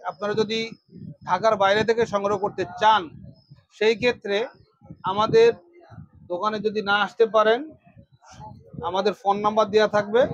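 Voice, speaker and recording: High-pitched Bangladeshi salesman, dull recording. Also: no room sound. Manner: repeats phrases for emphasis, addresses viewers directly. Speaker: electronics shop presenter. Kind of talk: product demonstration sales pitch.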